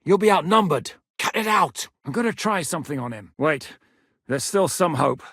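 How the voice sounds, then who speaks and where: A man's voice: on the high side, lively intonation, fairly bright tone, no room sound, studio quality. A man voicing a shrewd, commanding medieval Czech mercenary lord in a video game, speaking with blunt authority. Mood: low, plotting. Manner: authoritative, blunt, swings from measured to barking. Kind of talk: dramatic video game dialogue.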